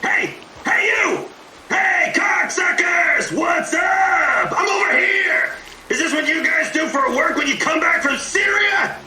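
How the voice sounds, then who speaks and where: A man's voice: extremely high pitch, lively intonation, slow, roomy sound, shouting, noisy background, full character voice. A male actor playing a manic, mocking, foul-mouthed hacker saboteur in a video game. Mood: taunting shouts. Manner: brash, mocking, swearing freely. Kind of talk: dramatic video game voice acting.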